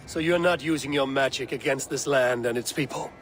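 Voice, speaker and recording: An actor playing a wry, pragmatic, commanding Viking warrior in a video game, with dry, understated delivery. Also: male voice, medium pitch, brisk, fairly bright tone, a little hiss or hum, close to an everyday voice. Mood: cool, questioning. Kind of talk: video game character acting.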